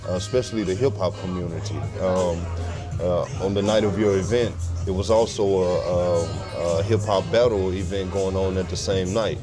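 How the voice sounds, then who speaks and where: Host: man, low voice, chatty and affirming, music underneath. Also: steady tone, moderate pace. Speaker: podcast host. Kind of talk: casual interview. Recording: music playing behind.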